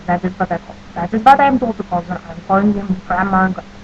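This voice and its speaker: A woman with a New Jersey accent talks in a deadpan, oddly formal way, avoiding contractions. Her voice sits at a middle pitch. The recording sounds dull and lo-fi, with a faint hum behind her. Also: rapid-fire. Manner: stiff, overly formal phrasing, avoids contractions, deadpan silliness. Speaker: audio blogger answering a question list. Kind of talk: casual audio post.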